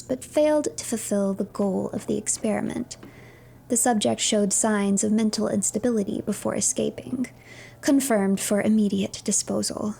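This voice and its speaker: A woman streamer narrating a game playthrough as a third-person story, with a hushed, dramatic storyteller's delivery and a creaky voice. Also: mid-range voice, slight background noise.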